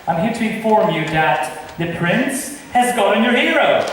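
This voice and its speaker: A young man playing a witty, teasing gentleman in amateur stage Shakespeare, recorded from far off in an echoey hall. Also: high voice, animated, unhurried. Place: drama club stage in a large echoey room. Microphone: far camera. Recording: distant, echoey hall with noise.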